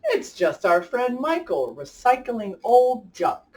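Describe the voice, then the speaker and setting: A woman's voice: a little high, big pitch swings, slow pace, darkish tone, slight background noise. A woman hosting story time for children, speaking enthusiastically and directly to young listeners as she chats and reads aloud. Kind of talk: picture-book read-aloud and chat for children. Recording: distant from the mic.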